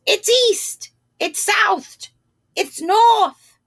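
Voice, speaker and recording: A woman's voice: extremely high pitch, extremely slow, fairly bright tone, pitched above the performer's own voice, exaggerated voice. A woman voicing crows that call out directions in a children's picture book read aloud. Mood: loud, insistent. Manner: chanting, calling out. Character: chorus of four crows calling out directions.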